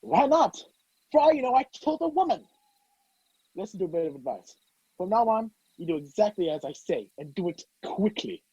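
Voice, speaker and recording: Brusque man on the run as a male actor plays him, mellow, animated, sarcastic, radio-play acting, dull video-call sound. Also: mid-range voice, brisk, husky, no room sound. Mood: menacing, sarcastic. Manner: commanding, sarcastic. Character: Richard Hannay, brusque sardonic man on the run. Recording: muffled video-call audio.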